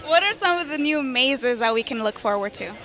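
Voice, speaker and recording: A young woman conducts a red-carpet interview. Her voice is high and bright, picked up on a handheld mic outdoors with a faint crowd behind her. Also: animated, fairly quick. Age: young adult. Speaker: interviewer, on-camera host. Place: outdoor press backdrop at a theme park at night. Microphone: handheld reporter microphone. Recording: light crowd noise outdoors.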